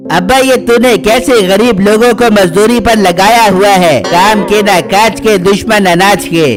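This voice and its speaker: A man's voice: high-pitched, a little slow. A man voicing an arrogant, bullying rich villain in a cartoon, scornful and bossy. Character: arrogant bullying landlord's son and his haughty father, rich villains. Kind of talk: exaggerated cartoon dialogue. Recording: music under the voice.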